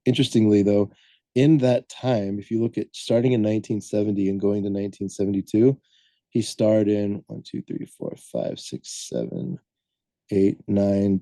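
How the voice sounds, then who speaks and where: A man co-hosting a podcast, talking casually and loosely with many pauses and filler sounds as he lays out background he has looked up. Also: lowish voice, warm tone, no room sound. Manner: rambling, hesitant, lots of "um" and self-corrections. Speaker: podcast co-host presenting the film research.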